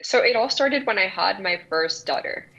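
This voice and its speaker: A woman's voice: medium pitch, expressive, moderate pace, creaky, sharp tone. A woman from El Salvador, in her thirties, answering interview questions earnestly with frequent restarts. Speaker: guest founder. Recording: dull lo-fi sound.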